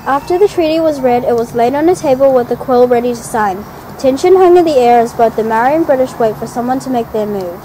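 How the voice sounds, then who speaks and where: A woman's voice: a little high, fast talker, dark tone, a little hiss or hum, poor audio. A young teenage girl narrating a school history video in a plain, recited way. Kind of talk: read-aloud school narration.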